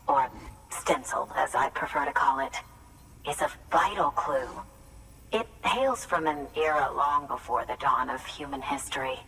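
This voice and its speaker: An eccentric researcher fixated on the secrets of the cosmos, a game character who announces everything grandly. Her voice sits very low, and she sounds set back from the mic, with a faint hiss underneath. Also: bright, forceful tone, far from the mic, heavily acted, low-quality recording. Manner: grandiose, theatrical proclamations. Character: eccentric woman researcher obsessed with cosmic mysteries. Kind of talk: video game cutscene dialogue.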